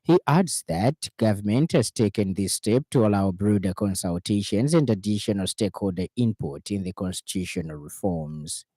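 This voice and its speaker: A man with a Zambian accent reading a radio news bulletin in a formal, measured way from a studio. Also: lowish voice, animated, dark tone, no echo.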